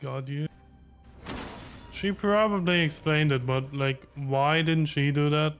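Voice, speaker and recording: A man's voice: fairly high pitch, slow, a bit bright, smooth. A man giving casual game reaction commentary in muttered, wry asides. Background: game music.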